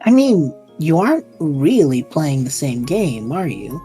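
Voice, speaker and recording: A woman voices a supportive young man out of his depth in an audio drama. The voice is low, mellow and a little husky. He talks casually and hesitantly, with wry humor. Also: big pitch swings, a little slow, faint music behind, full character voice. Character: bewildered, supportive young man lost in another world.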